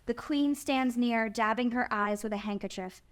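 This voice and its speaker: Female narrator, higher-pitched voice, scripted delivery of screenplay action and scene headings. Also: moderate pace. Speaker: narrator reading stage directions. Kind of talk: scripted narration at a table read.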